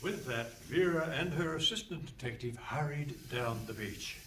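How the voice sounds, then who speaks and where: A man's voice: fairly high pitch, expressive, normal speed, fairly bright tone. A man narrating a comic radio play on stage in a wry, scripted style. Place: hall stage with a live audience. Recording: distant stage pickup with some noise.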